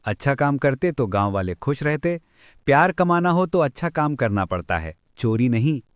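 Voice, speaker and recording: A man voicing a clever, kind young village boy in a cartoon moral story, speaking in an earnest, gently admonishing way. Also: medium pitch, sing-song, moderate pace, no echo, clean studio sound, pitched above the performer's own voice, natural voice. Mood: earnest, reassuring.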